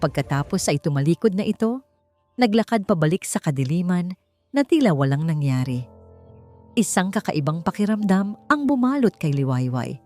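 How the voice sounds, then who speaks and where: A woman with a Filipino accent narrating a scripted romance story in a dramatic, deliberate way. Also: fairly low pitch, a little mellow. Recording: music behind the voice. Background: background music.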